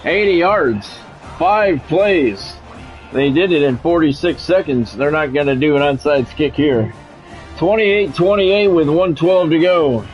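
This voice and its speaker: A man's voice: a little high, a little slow, faint music behind, rough recording. A man doing play-by-play of his own football video game in short, blunt phrases, mixed with casual gamer talk.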